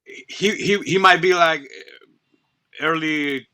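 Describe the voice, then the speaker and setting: A man's voice: a little high, steady tone, slow pace, pushed and bright. A man from Colombia who speaks Spanish natively, chatting as a guest toy reviewer in English with a Colombian accent, often stammering and repeating words. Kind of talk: casual chat on a live stream.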